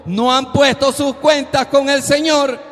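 A man preaching a sermon as the regular speaker of a program, exhorting listeners in a slow, emphatic way that rises into loud bursts. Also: very high-pitched, fairly even intonation. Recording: long reverberant hall.

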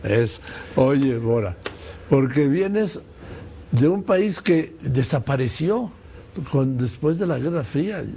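Older man interviewer, mellow voice. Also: medium pitch, lively intonation, slow, husky. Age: 70s. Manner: conversational, prompting with dates and history. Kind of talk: casual interview.

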